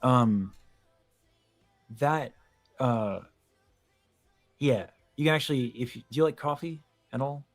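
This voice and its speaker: A man's voice: medium pitch, slow, pauses often. A man talking voice technique, music behind. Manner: analytical, explains vocal technique in detail. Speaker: host, vocal coach. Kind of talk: casual video-call chat about singing.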